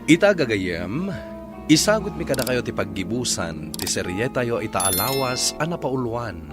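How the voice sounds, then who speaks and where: A man's voice: medium pitch, very animated, moderate pace. A man with an Ilocano accent announcing a radio drama in scripted, dramatic narration. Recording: dramatic music under the voice.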